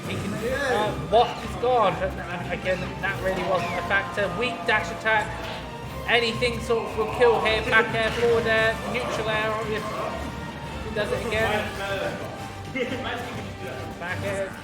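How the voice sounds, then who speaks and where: A hoarse man giving solo play-by-play commentary on a fighting game match, with quick analysis and dry self-mocking asides. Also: high voice, fairly even intonation. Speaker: esports commentator. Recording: echoey room with loud music. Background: loud music.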